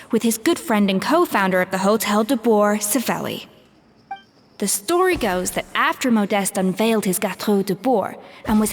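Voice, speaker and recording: A thoughtful veteran chef. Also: female voice, medium pitch, long reverb tail. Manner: reflective, measured storytelling with sighs. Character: seasoned woman head chef, thoughtful and precise. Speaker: chef character. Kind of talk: video game voice acting. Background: soft game music.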